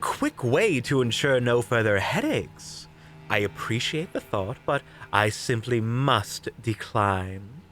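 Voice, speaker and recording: A man acts out a flamboyant investigator for an audio roleplay, in a mid-pitched voice. The delivery is theatrical and coy, lingering on words with mocking courtesy and unflappable wit. Faint music plays behind. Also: big pitch swings, slow, fairly bright tone. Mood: polite, teasing. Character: flamboyant, unflappable, witty investigator in their prime. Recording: background music.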